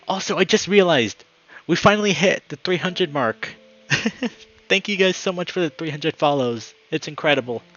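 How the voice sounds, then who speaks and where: A man's voice: a little high, moderate pace, muffled recording, soft background music. A man speaking as a live streamer, moving between friendly chat and reading a story aloud. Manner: upbeat, friendly, excitable when greeting viewers.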